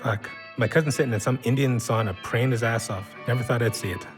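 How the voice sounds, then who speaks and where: A man's voice: mid-range voice, fast talker, over music, natural voice. A man playing a cynical, foul-mouthed drinker, blunt and mocking, in a naturalistic audio drama. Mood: scornful. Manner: sarcastic, blunt, gruffly dismissive.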